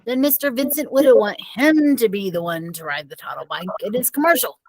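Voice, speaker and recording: A woman's voice: on the high side, expressive, a little fast, a little mellow, poor audio. A woman teacher reading the dialogue of a children's novel aloud, a little away from the microphone. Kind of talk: read-aloud storybook dialogue.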